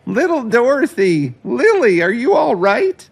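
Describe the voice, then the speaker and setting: A man's voice: very high-pitched, big pitch swings, measured pace, creaky, pitched above the performer's own voice, full character voice. A man voicing an imperious, dismissive elderly grandmother in an audio drama, with a haughty, insistent manner. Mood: alarmed.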